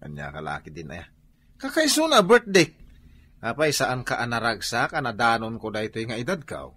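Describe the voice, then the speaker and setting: Wildly animated husky Ilocano village husband. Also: male voice, medium pitch, moderate pace, exaggerated voice. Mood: cajoling. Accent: Ilocano, northern Philippines. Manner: exclamatory, sing-song, cajoling. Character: boisterous middle-aged village husband, birthday drinker fond of singing. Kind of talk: comedy radio drama acting.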